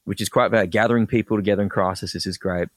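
Australian man, low voice, dry close sound, enthusiastic podcast guest, restarts his phrases. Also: steady tone, no room sound. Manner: quick, enthusiastic explainer with frequent restarts. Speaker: guest, personal branding expert. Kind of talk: casual podcast interview.

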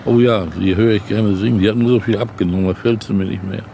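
Older male author guest, low gravelly voice, loose casual chat, vehicle noise behind. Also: steady tone, fast talker, dark tone. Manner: easygoing, agreeing with short murmurs. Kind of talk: casual conversation.

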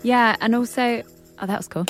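A woman's voice: a little high, fairly even intonation, normal speed, music in the background. Laughing young woman musician guest. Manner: laughing, loose, self-deprecating. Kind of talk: casual chat.

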